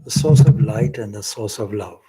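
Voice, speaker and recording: A man leading a guided meditation as a swami, speaking in a gentle, reverent, deliberate way with long pauses. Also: fairly low pitch.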